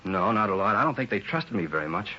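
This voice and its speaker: A man's voice: lowish voice, expressive, fast, bright, forceful tone, slightly rough, full character voice. A man acting a weary, defensive robbery suspect in a 1950s radio drama, with blunt, slangy street talk. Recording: dull, hissy old radio recording.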